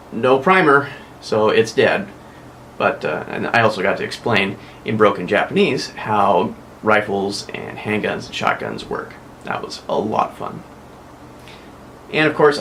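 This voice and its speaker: A man's voice: fairly low pitch, a bit bright, a little hiss or hum. A young American man hosting a casual weekly vlog, chatting and rambling with frequent self-corrections. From United States. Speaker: vlog host.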